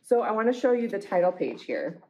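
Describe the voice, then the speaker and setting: A woman reading picture books aloud for a children's storytime, with deliberate, expressive phrasing. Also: medium pitch, rough recording.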